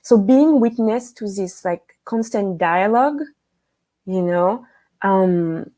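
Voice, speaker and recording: A woman from France with a French accent, a guest speaking thoughtfully on a video call, pausing often and using fillers. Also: medium pitch, warm tone. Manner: thoughtful, with frequent pauses and fillers. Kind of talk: conversational interview. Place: home room with a piano.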